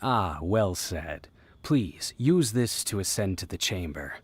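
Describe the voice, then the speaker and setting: A man playing a courteous, formal gatekeeper with a deliberate manner in a video game. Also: sits low, lively intonation, a little hiss or hum. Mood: polite, knowing.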